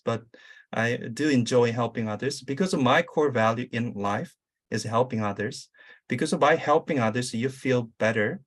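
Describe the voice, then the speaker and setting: A man with a Korean accent speaking English as a second language, a career coach giving an online summit talk, halting and full of fillers. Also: mid-range voice. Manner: halting, many uh fillers and restarts. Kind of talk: conference talk over video.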